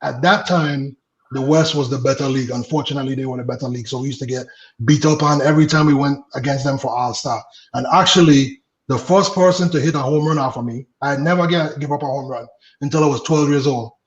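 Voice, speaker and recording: A man in his late thirties from the Virgin Islands, a guest telling stories about his baseball days in a relaxed way, laughing easily and trailing off with fillers. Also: medium pitch, fast talker, roomy sound, lo-fi sound. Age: late 30s. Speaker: guest, former baseball pitcher. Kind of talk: casual interview storytelling.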